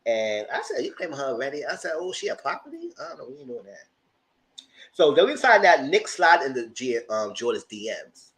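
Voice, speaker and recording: A man's voice: medium pitch, huge pitch swings, a little fast, a bit bright, poor audio. A man co-hosting a casual reality TV review, talking animatedly and acting out the cast members he quotes. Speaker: podcast co-host.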